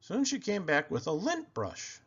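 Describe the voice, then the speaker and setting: A man reading a picture book aloud to children, expressive and deliberate in his phrasing. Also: high-pitched, husky, highs cut off.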